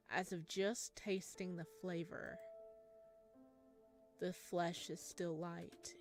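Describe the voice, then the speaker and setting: A solemn horror storyteller, voiced by a woman, unfolding a creepy visual novel in slow, hushed, suspenseful phrases. Music plays underneath. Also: fairly low pitch. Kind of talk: dramatic visual novel narration. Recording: music behind the voice.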